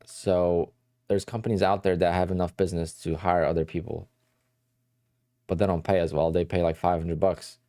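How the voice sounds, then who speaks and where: A man from New Jersey talks through his livestream in a loose, rambling way. His voice sits very low with a dark, mellow tone, and the recording is close and dry with no room sound. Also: flat delivery, moderate pace, dry recording. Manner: rambling, conversational, answers chat questions. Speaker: day trading livestream host. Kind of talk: casual livestream chat.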